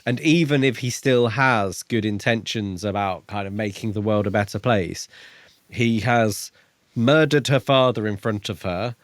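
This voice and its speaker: A man with a fairly low voice, recorded dry and close. He chats casually as a podcast co-host, feeling his way thoughtfully through each point with pauses. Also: dry recording. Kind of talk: casual book discussion chat.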